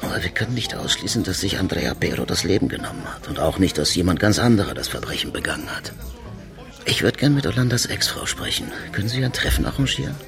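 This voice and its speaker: A man's voice: low-pitched, animated, quick pace, gravelly, over music. An actor playing a blunt, probing male chief inspector who presses his questions in a radio crime drama. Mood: businesslike. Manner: confronting, insistent interrogation.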